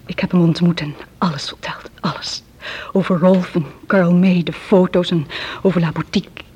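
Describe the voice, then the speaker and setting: An actress playing an anxious, addicted woman making a halting confession in a Dutch radio drama. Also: on the low side, fairly even intonation, darkish tone, breathy edge. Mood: subdued, explaining. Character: anxious drug-addicted young woman confessing a criminal scheme. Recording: muffled, lo-fi old radio play recording.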